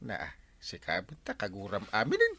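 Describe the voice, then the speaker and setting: An actor playing a gruff, confrontational villager with an Ilocano accent in a radio comedy drama. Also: male voice, fairly low pitch, huge pitch swings, moderate pace, pushed and bright, exaggerated voice.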